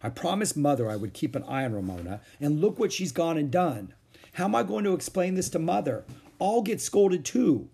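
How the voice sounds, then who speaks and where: A man voicing an exasperated, responsible older sister in a storybook reading, speaking in a sharp, scolding way. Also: on the high side, very animated, normal speed, creaky, slightly rough, pitched above the performer's own voice, exaggerated voice. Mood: worried.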